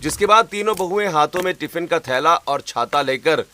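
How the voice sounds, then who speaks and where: A man narrating a cartoon story in a steady, descriptive manner. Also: a little high, normal speed, a crowd in the background.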